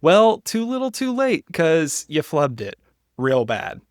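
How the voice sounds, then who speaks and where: A man's voice: a little high, big pitch swings, slow, dry recording, studio quality. A man co-hosting a political podcast, talking in a casual, informal and opinionated way.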